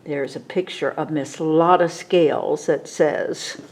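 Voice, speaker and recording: Slow-paced woman reading a children's story. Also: deep voice. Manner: expressive, explanatory asides to children. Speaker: library story time reader. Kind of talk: read-aloud of a children's picture book with asides.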